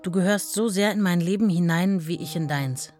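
A woman's voice: lowish voice, normal speed, music in the background, lightly acted. An actress playing a devoted, modest young working-class wife telling her story in a radio play. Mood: tender, earnest.

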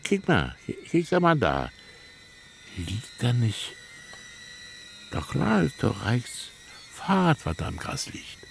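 An actor playing a stubborn, mocking older village man in a radio play, with a husky voice and a Berlin-Brandenburg accent, colloquial and blustering. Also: medium pitch, wildly swooping pitch, slow pace, pauses often, a little hiss or hum, full character voice. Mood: goading. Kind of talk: naturalistic German radio play acting.

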